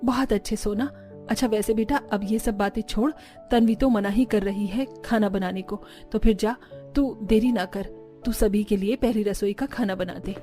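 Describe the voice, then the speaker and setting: A woman's voice: medium pitch, fairly even intonation, normal speed, mellow, lightly acted. Lady doing a bossy saas in a cartoon, motherly, middle-aged, bit of music behind. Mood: approving. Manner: instructing, motherly. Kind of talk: Hindi cartoon voice acting.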